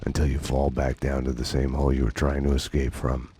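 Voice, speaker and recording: An actor playing a world-weary, guilt-ridden homicide detective, narrating a video game in a slow, grim, deadpan way. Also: male voice, very deep voice, background noise. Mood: brooding, fatalistic. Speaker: narrator and protagonist. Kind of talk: noir scripted narration. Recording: loud music behind.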